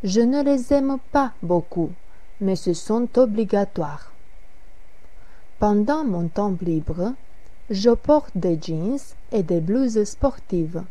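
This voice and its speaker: A woman's voice: mid-range voice, lively intonation, takes it slowly, mellow, no room sound. A woman reading the part of Marie, an adult woman chatting about clothes and taste, in a careful, scripted lesson dialogue. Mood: matter-of-fact. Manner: careful, evenly paced reading.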